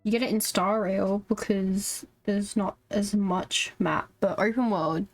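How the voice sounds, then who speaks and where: A woman's voice: mid-range voice, steady tone, unhurried. A woman giving casual live gameplay commentary as a streamer, with chatty, informal asides to her viewers. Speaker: video game streamer. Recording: game music behind. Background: video game music.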